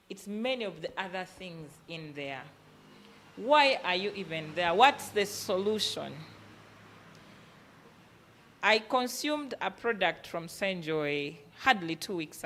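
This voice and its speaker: Female workshop speaker, Ugandan accent, mid-pitched voice, motivational business delivery built on pointed rhetorical questions. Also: a bit bright. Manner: emphatic, asking rhetorical questions and answering them.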